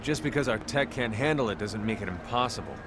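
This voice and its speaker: A man's voice: lowish voice, lively intonation, quick pace, background noise, close to an everyday voice. A man playing an easygoing, helpful military crew leader in a video game cutscene. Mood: helpful, sincere.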